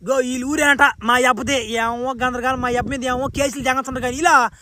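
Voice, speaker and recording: A man's voice: very high-pitched, level tone, fairly quick, sharp tone, a little hiss or hum. A man voicing a brash, crude young superhero son in an amateur cartoon dub. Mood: baffled, irritated. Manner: colloquial, crude, exclamatory. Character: brash, foul-mouthed young superhero son, easily baffled.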